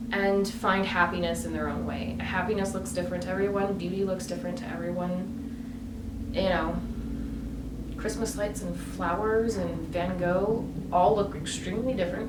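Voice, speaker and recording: A woman vlogger talking about her own choices in a casual, emphatic way, with many fillers and rising bursts of emphasis. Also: fairly low pitch. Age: adult. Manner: animated, emphatic, lots of fillers. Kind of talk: casual opinion monologue. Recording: echoey room, mic at a distance, background noise.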